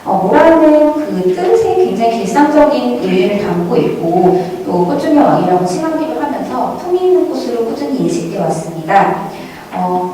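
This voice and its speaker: A woman's voice: on the high side, warm tone. A woman giving a formal prepared academic presentation, recorded from a distance in a very echoey hall with faint noise. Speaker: academic presenter at a museum conference. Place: large echoing indoor hall at a conference.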